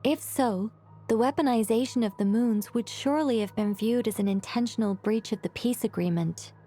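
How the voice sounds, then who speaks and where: A woman voicing a composed, wise character in a video game, recounting lore in a calm, measured way. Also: a little high, faint music behind, close to an everyday voice. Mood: solemn. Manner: calm, measured, solemn storytelling. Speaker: story character explaining lore.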